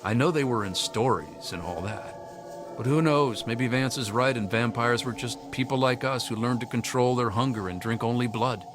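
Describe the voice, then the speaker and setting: A man's voice: mid-range voice, normal speed, close to an everyday voice. Troubled young man over background music played by a man. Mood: troubled, reflective. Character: troubled young man haunted by cannibal hunger. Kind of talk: video game dialogue acting. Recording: music behind the voice.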